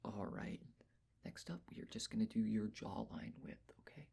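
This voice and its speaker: A man speaking in a breathy whisper, gently and reassuringly giving step-by-step instructions in an ASMR personal-attention roleplay. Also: on the low side, expressive, normal speed. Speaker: ASMR roleplayer taking face measurements.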